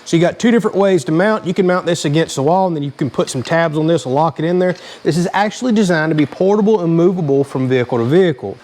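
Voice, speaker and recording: A man's voice: a little high, a little mellow. A man hosting a casual hands-on DIY tutorial, talking in a plain-spoken, explanatory way. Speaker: host of a DIY vehicle channel.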